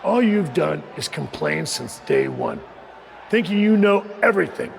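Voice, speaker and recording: A stern American wrestling veteran in a video game, heavily gravelly and scolding. His delivery is slow and swings widely in pitch. The words boom through long arena reverb. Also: wildly swooping pitch, full character voice. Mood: stern, exasperated. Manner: emphatic, scolding. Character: exasperated, stern veteran wrestler turned boss. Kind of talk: wrestling promo acting. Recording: booming arena reverb, faint noise.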